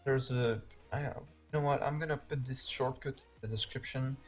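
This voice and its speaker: A man's voice: mid-range voice, fairly even intonation, normal speed, faint music behind. Belgian-accented man hosting a casual stream. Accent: Belgian Dutch. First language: Dutch. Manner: rambling, laughs often, thinks aloud. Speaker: live stream host. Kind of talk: casual game tutorial chat.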